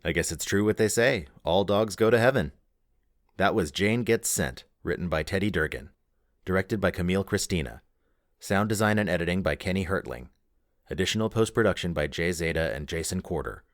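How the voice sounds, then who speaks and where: A man's voice: low voice, fairly quick, no echo, studio quality. A man hosting an audio drama show, reading scripted credits as its announcer. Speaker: host and announcer.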